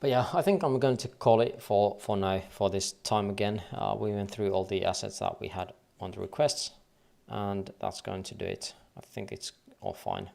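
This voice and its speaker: A man's voice: on the low side, moderate pace, creaky, hardly any pauses, darkish tone. A man from Finland with a light Finnish accent, thinking aloud and counting waves under his breath as he goes through charts on a casual trading livestream.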